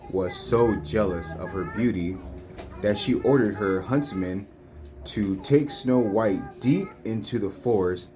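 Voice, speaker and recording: A woman reading children's storybooks aloud in a deliberate, phrase-by-phrase way. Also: a bass voice, rough recording. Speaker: storybook reader. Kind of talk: children's read-along narration. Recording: faint background noise, home recording.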